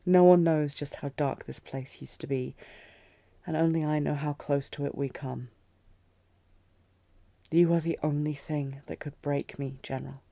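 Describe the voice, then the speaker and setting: Fantasy queen, played by a woman: commanding yet heartsick, mellow timbre, very dry close sound, restrained intensity. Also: deep voice, steady tone, unhurried, gravelly, no room sound. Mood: tormented, confessing. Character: commanding, tormented queen in love with her general. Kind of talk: fantasy audio roleplay, dramatic acting.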